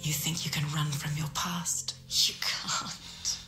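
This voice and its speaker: An insidious inner voice whispers in breathy, drawn-out phrases. It goads like a tormenting spirit in a dark game, echoing all around over music. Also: female voice, sits low, little pitch movement, heavily acted. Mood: cruel, taunting. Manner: insidious, slow, goading. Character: taunting female voice inside a tormented warrior's head. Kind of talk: dramatic video game acting.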